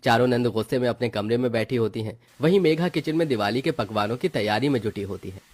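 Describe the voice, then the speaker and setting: A man's voice: mid-range voice, expressive, fast, darkish tone, dry recording. A man narrating a scripted story as the storyteller.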